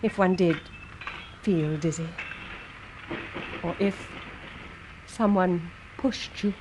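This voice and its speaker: A woman's voice: lowish voice, takes it slowly, noisy background. A woman playing an anxious, suspicious wife, tense and questioning, in vintage radio drama.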